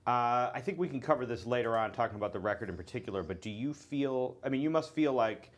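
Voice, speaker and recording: A man hosting an interview, easygoing and quick with affirming interjections. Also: medium pitch, lively intonation. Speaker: interviewer host. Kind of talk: casual interview.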